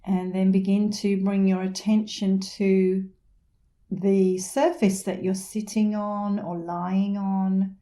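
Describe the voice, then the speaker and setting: A middle-aged woman leading a guided meditation in a soothing, unhurried manner with gentle pauses. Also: mid-range voice, level tone. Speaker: meditation instructor.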